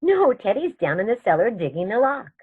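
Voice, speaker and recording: Genteel elderly aunt, female actor, radio stage comedy: serene, chiding, very expressive melody, slightly lo-fi sound. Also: mid-range voice, fairly quick, slightly rough. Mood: firm, unbothered. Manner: prim, gently chiding, unruffled.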